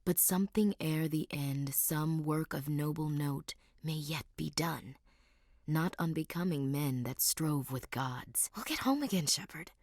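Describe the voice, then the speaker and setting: Young American female soldier, low pitch, frank, dryly wry, dutiful, naturalistic sci-fi game dialogue, very dry close sound. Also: a little slow, darkish tone, no echo. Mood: solemn. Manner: frank, dry, military politeness. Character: tough, candid young female space marine, dutiful and wry. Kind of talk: naturalistic video game voice acting.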